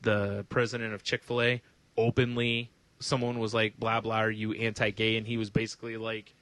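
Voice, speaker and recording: A man's voice: on the low side, completely flat delivery, moderate pace, a bit bright, no echo. A man co-hosting a podcast, ranting casually about the news with sarcastic, profane jokes. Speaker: podcast co-host.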